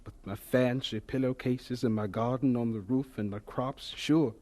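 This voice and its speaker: An actor playing an earnest, dreamy young homesteader in a radio drama, with a wistful, folksy, heartfelt way of talking. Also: male voice, medium pitch, fairly even intonation, normal speed, a little mellow, close to an everyday voice. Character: earnest, dreamy young homesteader, later recalling his life.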